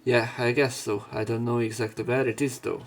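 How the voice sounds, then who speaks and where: A man's voice: medium pitch, flat delivery, fairly quick, faint music behind. A man reading a visual novel's text aloud, breaking off now and then for casual, jokey commentary. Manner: casual, jokey asides between read lines. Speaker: let's play narrator.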